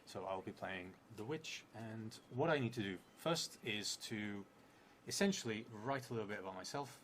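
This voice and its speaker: A man's voice: on the low side, animated, a bit bright. A man in his thirties thinking aloud in a casual, hesitant way as he explains a solo tabletop game on a live stream. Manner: hesitant, halting. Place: indoor theatre room, seated at a table. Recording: clearly noisy live stream room.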